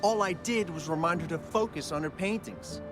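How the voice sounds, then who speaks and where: An actor playing a blustering, cowardly gang boss in a video game, brash at first and then quick to cave and wheedle. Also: male voice, fairly high pitch, music in the background, natural voice. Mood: evasive.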